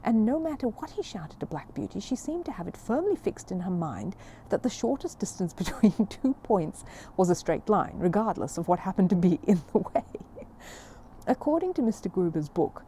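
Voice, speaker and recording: A woman reading a children's story aloud in an expressive, amused storybook style. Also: mid-range voice, normal speed, slight background noise.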